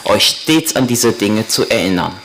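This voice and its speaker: A man's voice: on the low side, animated, normal speed, fairly bright tone, faint music behind, poor audio. A man at a conference, interpreting a lecture phrase by phrase right after the speaker. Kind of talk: consecutive interpreting. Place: conference hall.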